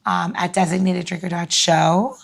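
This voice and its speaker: A woman's voice: on the low side, level tone, moderate pace, gravelly. A woman from New York co-hosting a podcast, chatting in an informal, bantering way. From New York, United States. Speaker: podcast co-host. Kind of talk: casual chat.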